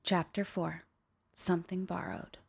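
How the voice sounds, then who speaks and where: A woman's voice: fairly low pitch, flat delivery, a little slow, vocal fry, slightly rough, heavily acted. Defiant, intense young woman voiced by an actress. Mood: grave narration. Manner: earnest, blunt, intense. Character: Jess, determined, defiant young woman, protective daughter. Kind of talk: radio drama acting and first-person narration.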